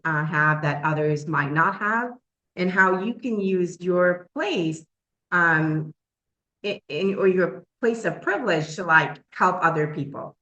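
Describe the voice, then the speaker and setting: A woman's voice: on the low side, sharp tone, reverberant. A woman from Trinidad, a professor, giving an earnest webinar talk with frequent ums and uhs. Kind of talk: webinar presentation.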